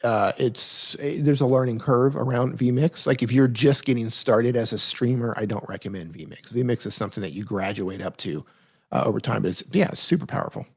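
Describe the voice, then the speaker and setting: A man's voice: mid-range voice, brisk, darkish tone, slightly rough. Casual man answering viewer questions live. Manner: direct, plain-spoken advice with frequent self-corrections; reads viewer questions aloud, then answers conversationally. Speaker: live stream Q&A host.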